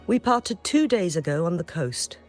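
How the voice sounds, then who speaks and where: A courteous official reporting in a story game. His voice sits a bit high for a man and is measured and earnest. Faint music runs behind it. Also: very animated. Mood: formal, earnest. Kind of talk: video game story dialogue.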